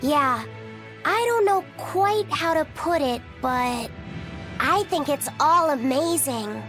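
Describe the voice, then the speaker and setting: High-voiced earnest young boy. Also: lively intonation, slow, a bit bright, faint music behind, full character voice. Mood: thoughtful, admiring. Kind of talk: English video game voice acting.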